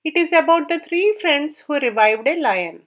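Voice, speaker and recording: Woman voicing a high-pitched, probing vampire spirit. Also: animated, normal speed, fairly bright tone. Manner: formal, questioning address.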